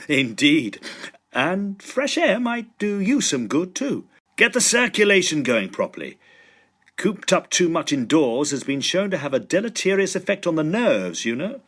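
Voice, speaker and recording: A man plays a courteous Victorian medical student in an audio drama. His fairly high, husky voice moves freely up and down as he speaks with mild hesitation and good sense. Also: sing-song, a little slow, full character voice. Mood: dryly sensible. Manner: hesitant, courteous, gently admonishing. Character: polite, sensible young male Victorian medical student. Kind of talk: period audio drama acting.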